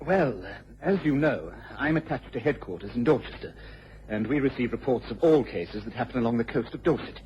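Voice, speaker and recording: A man's voice: medium pitch, expressive, a little fast, close to an everyday voice. A man playing an earnest, respectful young English police inspector in a radio drama. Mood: earnest, explanatory. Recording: dull vintage radio recording, faint noise.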